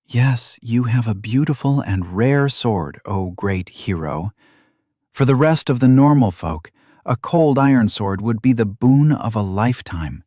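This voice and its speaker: A man's voice: medium pitch, animated, a little slow, warm tone, no echo, clean studio sound. A man voicing a confident, teasing young woman adventurer with a sarcastic, bantering manner in an audiobook. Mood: wry teasing.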